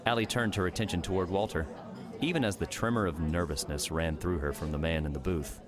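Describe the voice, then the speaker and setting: A man narrating a scripted audiobook story in a measured, dramatic storytelling manner. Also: deep voice, music in the background.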